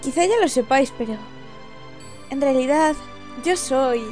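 A woman's voice: sits high, unhurried, darkish tone, soft background music. A woman from voicing a courtly, formal young princess from a video game. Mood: polite.

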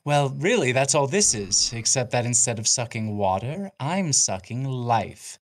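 Man playing a measured, sadistic scholar count. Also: medium pitch, sing-song, crowd noise. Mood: coolly curious. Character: calm, scholarly, sadistic male torturer count.

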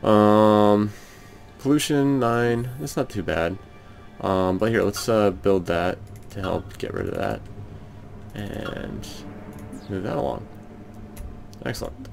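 Brief: raspy, very low-voiced man, unhurried let's-play commentary full of hesitations, soft game music behind. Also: animated. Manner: hesitant, thinking aloud with many ums. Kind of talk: casual gameplay commentary. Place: home room.

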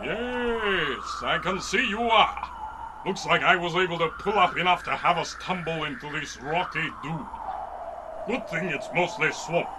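Proud falcon-man chieftain, played by a man, high and slow, declamatory radio adventure serial, noisy backdrop. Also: lightly acted. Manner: grandiose, declamatory. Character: boisterous proud winged falcon-man warrior leader. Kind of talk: old-time radio adventure serial acting.

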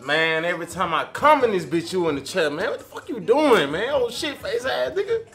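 Lively man roasting, laughing as he talks. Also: sits high, a little fast. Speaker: customer in a barbershop sketch. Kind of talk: scripted comedy banter. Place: barbershop, indoors.

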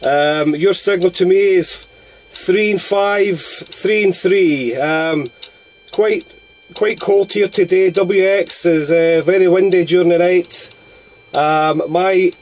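Scottish man, slightly high-pitched, on CB. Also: a little mellow. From Scotland. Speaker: amateur CB radio operator. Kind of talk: unscripted long-distance radio contact chat.

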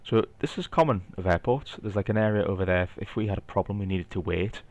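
Deep-voiced Geordie man narrating gameplay. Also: fast, highs cut off. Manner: relaxed, explanatory, thinking aloud with light asides. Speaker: flight simulator video maker. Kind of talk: casual narrated gameplay walkthrough.

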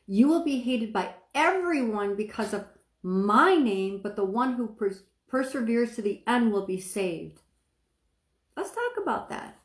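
A middle-aged woman with a soft speaking voice, preaching casually to a phone camera and checking that listeners follow her. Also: a little high, animated, unhurried, roomy sound. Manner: chatty, asks the viewer questions, stretches words for emphasis. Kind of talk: informal sermon to camera. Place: small room indoors, seated.

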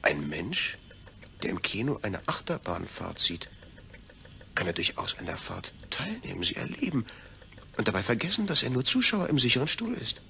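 An actor playing a pensive astronaut in a deliberate, theatrical audio drama soliloquy. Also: male voice, mid-range voice, big pitch swings, gravelly, sharp tone, full character voice. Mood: searching, puzzled. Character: pensive astronaut man puzzling over his own detached brain. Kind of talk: German audio drama monologue.

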